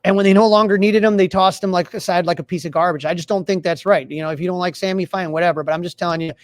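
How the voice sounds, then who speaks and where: A man's voice: high-pitched, steady tone, very fast, dry recording, clean studio sound. A man co-hosting a sports podcast, giving opinionated, emphatic fan commentary in casual talk.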